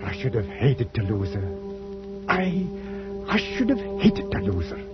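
An actor playing a literal-minded, unflappable ship's captain in a 1940s radio drama, mild, hesitant and understated. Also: male voice, on the high side, sing-song, a little slow. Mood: quiet feeling. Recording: dull old 1940s radio recording, faint music.

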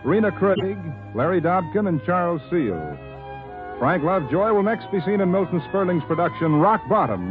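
An American man announcing scripted credits in a formal, declamatory 1950s radio style. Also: a little high. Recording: tinny old radio broadcast, music behind.

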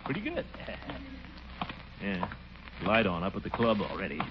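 An actor playing a pompous, scheming middle-aged town commissioner in a blustery 1940s radio comedy style. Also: male voice, lowish voice, huge pitch swings, normal speed. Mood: self-satisfied. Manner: blustery, swooping delivery with chuckles. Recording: muffled, noisy 1940s radio recording.

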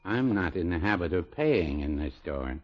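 Casting: agreeable older shopkeeper for vintage radio comedy, low lively voice, hesitant and dryly folksy. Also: male voice, moderate pace. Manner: dry, hesitant, folksy understatement. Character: mild, agreeable, hesitant older male small-town druggist. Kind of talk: 1950s radio sitcom acting. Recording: muffled, noisy old radio recording.

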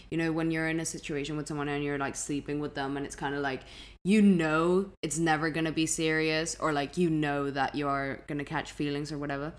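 Casting: young female podcast co-host, low register, casual and wandering in delivery, happy to swear. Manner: informal, rambling, sweary.

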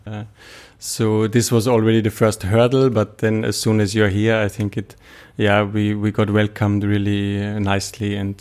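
Young austrian guy, low monotone voice, halting interview answers in accented english. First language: German. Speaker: juggler, interviewee.

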